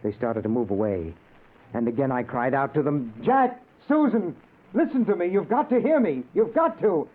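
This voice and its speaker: A man's voice: fairly high pitch, animated, normal speed, narrow, tinny audio. An actor playing an anguished dead man who tells his own story, dramatic and intense in 1940s radio style. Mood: pleading. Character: anguished male ghost recounting his own death. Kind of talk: 1940s radio acting, first-person narration. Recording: thin old radio recording with music.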